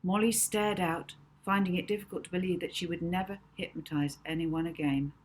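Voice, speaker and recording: A woman reading a children's novel aloud as expressive audiobook narration. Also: fairly low pitch, fairly quick, slight background noise, low-quality recording.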